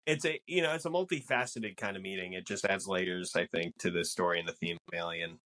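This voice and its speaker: A man co-hosting a casual film discussion podcast, explaining things in a measured way. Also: low voice, pushed and bright. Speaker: podcast co-host.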